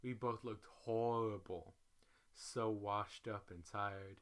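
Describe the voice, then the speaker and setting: Bright, forceful male storyteller. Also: on the low side, slow pace. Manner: animated, profane, slangy, acts out each quote. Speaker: reader, storyteller. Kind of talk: dramatic reading of a social media story thread.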